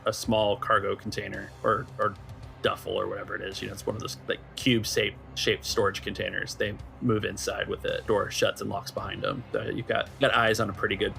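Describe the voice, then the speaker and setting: A man working as game master, improvising descriptions of a scene in a halting way. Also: lowish voice, flat delivery, gravelly, sharp tone, slight background noise. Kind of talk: improvised tabletop game narration.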